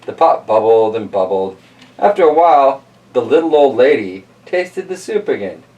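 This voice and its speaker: Animated male storybook reader, slightly distant. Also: medium pitch, normal speed. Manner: expressive, informal, chatty. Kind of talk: storybook narration with casual asides.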